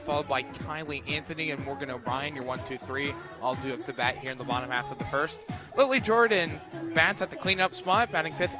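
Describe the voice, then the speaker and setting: A man doing live radio play-by-play of a softball game, calling the action in short, clipped phrases. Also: a little high. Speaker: play-by-play announcer.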